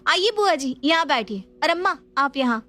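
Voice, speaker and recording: A woman's voice: high voice, expressive, normal speed, pushed and bright. A young woman playing a patient, modern-minded new daughter-in-law who explains things earnestly and persuasively. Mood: explaining. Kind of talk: Hindi family drama acting.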